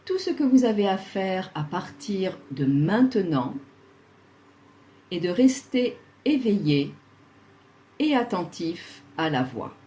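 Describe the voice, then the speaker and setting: A woman leading a guided yoga relaxation, speaking soothingly and leaving long pauses between short instructions. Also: mid-range voice, sing-song, dark tone, low-quality recording.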